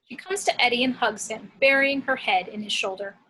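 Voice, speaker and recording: A woman's voice: on the high side, a little fast, bright, forceful tone, rough recording. A woman reading action descriptions from a film script as scripted narration. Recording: clear background noise, home recording.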